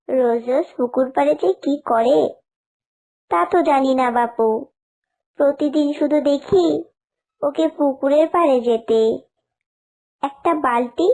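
Lady doing a worried cartoon mom, really high voice, fond but naggy. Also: a little slow, pauses often, a little mellow. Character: caring, fretful animal mother and wife. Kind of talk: cartoon character acting.

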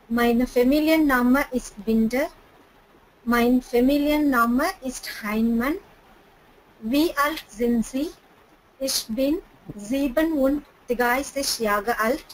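Careful high-voiced woman student reading. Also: slow pace, slight background noise, rough recording. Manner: careful, apologetic. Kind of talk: reading a text aloud in class.

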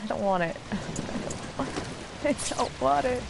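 A woman's voice: fairly high pitch, animated, measured pace, vocal fry. A young woman doing casual live game commentary, profane, playful and sarcastic, talking as a streamer. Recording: noisy, game sound behind voice. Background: video game ambience.

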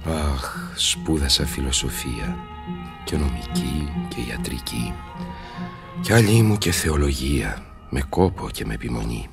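An actor playing an aged, weary, despairing scholar turned sorcerer, declaiming verse theatrically in a radio play. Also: male voice, extremely low pitch, expressive, vocal fry, over music, heavily acted. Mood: weary, bitter. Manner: theatrical, impassioned, slow declamation.

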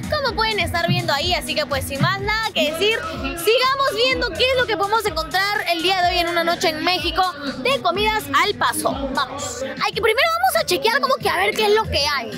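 A woman's voice: very high-pitched, animated, fairly quick, loud music behind the voice. A young Peruvian woman vlogging street food at a night market, bubbly and chatty with vendors, talking into a handheld mic. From Peru. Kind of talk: casual on-street food vlog.

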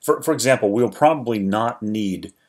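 Low-voiced man explaining a software tutorial. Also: moderate pace. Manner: relaxed, explanatory, with frequent restarts. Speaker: 3D tutorial creator. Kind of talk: screen-recorded software tutorial narration.

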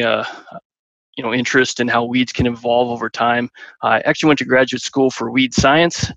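A man in his thirties from southern Illinois, telling his background in a relaxed way over a headset video call. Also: mid-range voice, no echo. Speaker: guest expert. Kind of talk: casual interview answers. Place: bedroom, indoors. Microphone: headset mic, video call. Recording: dry, dull headset audio.

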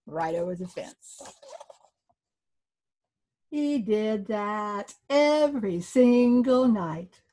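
An older woman storyteller reading a folktale aloud to children, animated and inviting listeners to join in. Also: medium pitch, drawn-out words, pauses often. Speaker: author and storyteller. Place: small book-lined room indoors.

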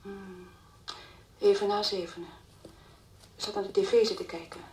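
An actress playing a dazed, grieving young mother in a radio drama, answering haltingly and numbly. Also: mid-range voice, little pitch movement, a little mellow, lightly acted. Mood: numb, distraught. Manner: halting, hesitant, clears her throat. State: distraught, numb. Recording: distant mic, faint background noise.

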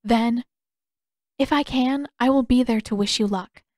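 A woman voicing a polite, dutiful young noblewoman with formal, measured phrasing in an audiobook story. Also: fairly high pitch, a little mellow, no echo, clean studio sound, voice pushed up, natural voice. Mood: earnest, gentle.

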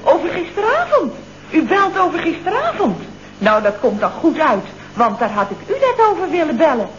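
High-pitched, feisty chatterbox secretary. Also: female voice, wildly swooping pitch, moderate pace. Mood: breathless, gossipy. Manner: gushing, chatty, full of colloquial tag questions. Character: feisty, chatty, indignant single female office secretary. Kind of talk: 1970s Dutch comedy radio play acting. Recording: muffled, noisy vintage radio recording.

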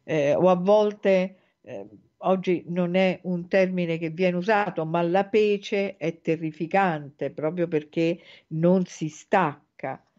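A woman hosting a spiritual talk, explaining a fairy tale in a thoughtful, measured way with frequent hesitant pauses, in dull lo-fi podcast audio. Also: lowish voice, warm tone. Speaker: host and spiritual teacher. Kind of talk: reflective spoken lecture on a fairy tale.

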